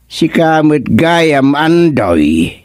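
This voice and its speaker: A man's voice: fairly high pitch, very animated, very slow, a little mellow, dry recording, clean studio sound, heavily acted. A man playing a grumbling, touchy village councilman in a radio comedy drama, with an Ilocano accent. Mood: miffed.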